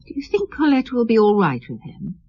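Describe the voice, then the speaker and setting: An actress playing an inspector's kind, hospitable wife in a radio drama, with a husky voice. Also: female voice, fairly high pitch, huge pitch swings, a little fast, lo-fi sound, exaggerated voice. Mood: concerned.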